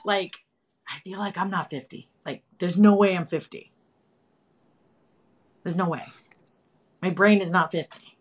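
Woman, 50s, live chat host, chatty, animated, phone video call, at home. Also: on the low side, a little slow, long pauses, slightly rough. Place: home room with colorful wall. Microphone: phone camera, video call.

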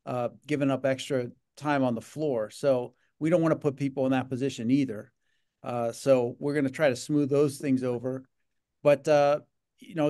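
A man's voice: medium pitch, a little mellow. Middle-aged man rambling on a video call. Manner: rambling, repeats words, many fillers. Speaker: gaming association leader. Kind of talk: casual video-call interview. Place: home office. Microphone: video call webcam.

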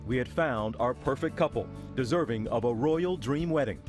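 A man's voice: medium pitch, normal speed, faint music behind. A man reading scripted narration for a television news feature as its reporter.

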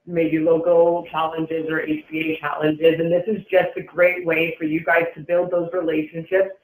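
A man with a high-pitched voice walks listeners through event plans in an informal presenting style. The sound is roomy and echoey, like a conference call from a meeting room. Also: completely flat delivery, normal speed. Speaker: event organizer presenting an agenda.

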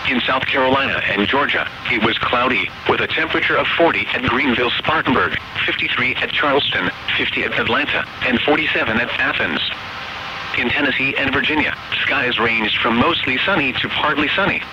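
Over a dull, clearly noisy radio broadcast comes a synthetic American man's voice. He reads weather bulletins as an automated announcer. His delivery is formal, measured and impersonal, at a medium pitch. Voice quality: synthetic text-to-speech voice.

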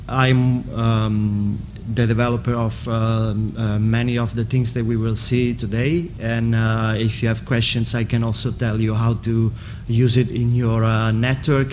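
Slow, flat-voiced man introducing himself. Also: lowish voice, slight background noise. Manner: unhurried, with fillers. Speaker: software developer, co-instructor. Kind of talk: introduction at a workshop. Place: conference room, seated at panel table. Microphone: table microphone.

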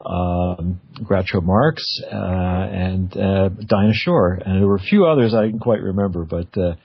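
Low, husky male radio host. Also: unhurried. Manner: informal, conversational. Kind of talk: casual interview. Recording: narrowband phone-like radio sound.

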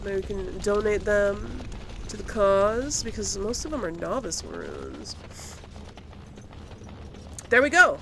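A woman's voice: mid-range voice, big pitch swings, slow pace, long pauses. A woman doing casual let's play commentary, chattily thinking aloud as she plays. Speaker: gaming video host. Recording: loud game noise behind voice. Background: fantasy video game sound.

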